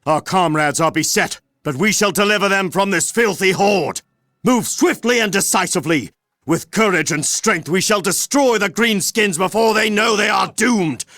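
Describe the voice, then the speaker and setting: A man's voice: sits high, normal speed, bright, forceful tone, dry recording, studio quality. A man playing an earnest young captain of a fantasy empire in a video game, speaking formally and resolutely. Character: earnest young male Imperial army captain, rallying. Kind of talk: heroic fantasy game acting. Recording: music behind the voice.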